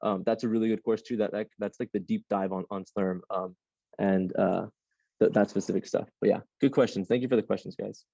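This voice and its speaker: Man, young adult, computing instructor, casual rambling lecture, lots of ums, slightly hoarse, mellow tone, dull lo-fi sound. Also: fairly low pitch, fairly even intonation, fairly quick, no echo. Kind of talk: live online class lecture. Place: classroom with a Zoom audience.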